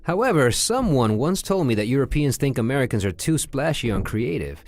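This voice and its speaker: An actor playing a vain, theatrical company boss in an audio comedy, effusive and doting. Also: male voice, mid-range voice, sing-song, a little fast, dry recording. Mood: grandiose, doting. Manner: grandiose, effusive.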